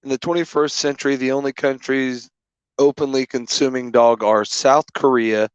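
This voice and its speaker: A man reading search results aloud on a podcast in a deadpan tone. His voice is smooth and resonant. The audio is dry and a little dull. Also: mid-range voice, moderate pace, dry recording. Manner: reads facts aloud, deadpan. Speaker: podcast co-host.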